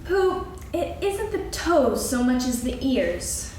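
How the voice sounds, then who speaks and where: Echoey stage sound. Little storybook pig played by a woman, very high voice, timid, polite, questioning. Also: sing-song, slow pace, a little mellow, full character voice. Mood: puzzled. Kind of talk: staged dramatic reading of a children's story. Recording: echoey stage recording, faint noise.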